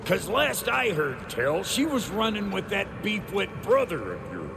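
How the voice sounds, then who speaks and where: An actor playing a crude, gruff, wisecracking dwarf smith in a video game, with a gravelly, folksy, slangy drawl. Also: male voice, high voice, wildly swooping pitch, unhurried, background noise, exaggerated voice. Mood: cocky banter. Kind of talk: video game character acting.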